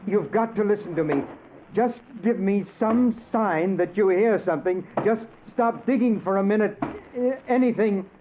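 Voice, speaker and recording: A man's voice: high-pitched, moderate pace, noisy background, lightly acted. An actor playing an anguished, guilty man convinced he is dead, pleading melodramatically in a 1940s radio drama. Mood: desperate pleading. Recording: muffled old radio recording with music.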